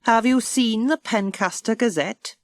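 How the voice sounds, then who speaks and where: A woman voicing a brisk, bossy, confident village doctor in a children's storybook, forthright and decisive. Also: fairly high pitch, dry recording, pitched above the performer's own voice, natural voice. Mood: indignant.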